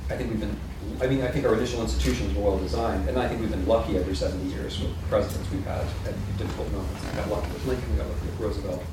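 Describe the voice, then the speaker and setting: A man speaking as a guest author at a seminar, quick and full of restarts and asides. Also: on the low side. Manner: quick, self-interrupting, many restarts and asides. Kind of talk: seminar discussion. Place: seminar hall. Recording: echoey hall, distant mic, background noise.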